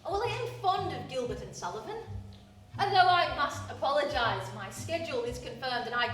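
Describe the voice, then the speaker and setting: A woman playing a spirited young garage owner in a live radio play, brisk and quick-witted. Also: sits high, very animated, a bit bright. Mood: breezy. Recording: roomy stage sound from a distance, with music.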